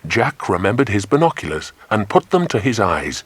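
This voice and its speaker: Deep, animated male storyteller. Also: normal speed, breathy edge, dry recording. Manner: expressive storytelling. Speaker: audiobook narrator. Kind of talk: scripted children's story narration.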